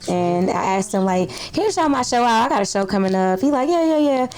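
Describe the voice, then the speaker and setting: Slightly raspy woman in casual chat. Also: fairly high pitch, lively intonation, a little fast, a little mellow, slight background noise. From Detroit, United States. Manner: informal, slangy. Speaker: podcast guest, actress.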